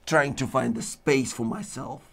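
A man hosting a talk show, declaiming in dramatic lists while his voice is going hoarse. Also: mid-range voice, a little slow, over loud music. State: losing his voice. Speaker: show host. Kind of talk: rambling philosophical monologue. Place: studio with green-screen backdrop.

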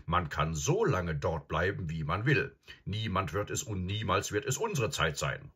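A grave old professor acted out by a man, low and husky, calm and probing. He ponders each point with absent-minded hums, as in a storybook reading. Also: full character voice. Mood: musing, earnest. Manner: deliberate, pondering, with hums and murmurs. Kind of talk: audiobook character acting.

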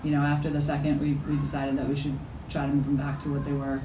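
A middle-aged woman head coach answering postgame questions at a press conference, halting and full of fillers and restarts. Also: deep voice, completely flat delivery. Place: press conference room with backdrop. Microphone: table mic from a few feet. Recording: echoey room, mic at a distance, noisy.

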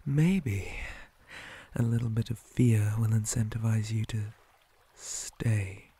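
A man voicing a possessive, menacing spider monster captor in an ASMR roleplay, mostly in a breathy whisper with deliberate, theatrical menace. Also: lowish voice, mellow, dry recording, exaggerated voice. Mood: controlling, haughty.

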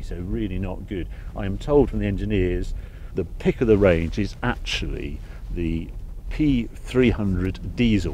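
An older man with a low, mellow voice talks casually about his car and his crops, often restarting and musing aloud. He sounds like a farmer hosting his own channel, recorded in a car and out in a field. A faint hiss sits under the voice. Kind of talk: casual vlog review and farm talk. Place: inside a car, then out in an open field.

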